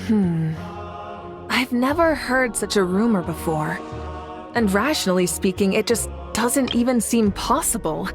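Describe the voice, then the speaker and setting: Composed female leader, music behind. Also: medium pitch. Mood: calm, reassuring. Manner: measured, articulate, calmly authoritative. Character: composed, reassuring female leader, rational and protective. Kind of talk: video game voice acting.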